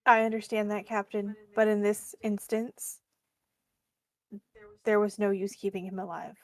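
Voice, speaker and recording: A woman playing a tabletop game, bantering casually in a deadpan manner. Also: medium pitch, measured pace.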